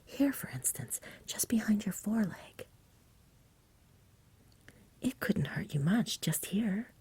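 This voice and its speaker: A woman voicing a frank, patient, confident male knight in a breathy whisper, courteous and persuasive. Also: medium pitch, lively intonation, takes it slowly, warm tone, no room sound, pitched above the performer's own voice, heavily acted. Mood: thoughtful.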